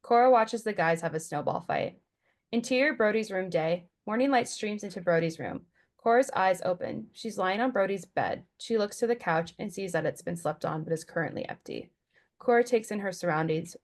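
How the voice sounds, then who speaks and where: Woman narrating script directions at a table read, slightly low voice. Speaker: stage directions reader.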